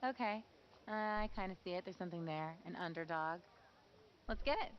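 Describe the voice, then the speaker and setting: Woman as a low-voiced, playful flirt. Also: fairly quick, highs cut off, slight background noise, natural voice. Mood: teasing. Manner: wry, playful teasing. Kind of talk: naturalistic screen acting.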